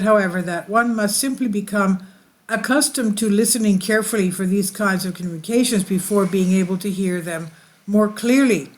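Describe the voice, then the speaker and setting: A woman hosting her channel, reading an article aloud and often stumbling and correcting herself mid-sentence. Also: medium pitch. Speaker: channel host.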